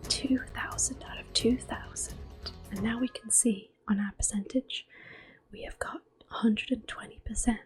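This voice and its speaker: Female ASMR gameplay host, whispery and mellow, gentle delivery over background music. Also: fairly high pitch, fairly even intonation. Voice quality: whispery, breathy. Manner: gentle, unhurried. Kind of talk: soft-spoken ASMR game commentary. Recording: music behind the voice. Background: soft game music.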